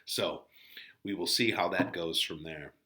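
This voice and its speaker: A man teaching a literature lesson in a casual, colloquial way, with animated commentary. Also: low-pitched, measured pace. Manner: animated, colloquial, acts out paraphrases.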